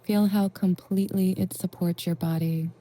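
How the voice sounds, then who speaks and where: A woman leading a guided meditation in a gentle, reassuring, unhurried way with long pauses. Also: lowish voice, fairly even intonation. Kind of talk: soothing guided meditation narration.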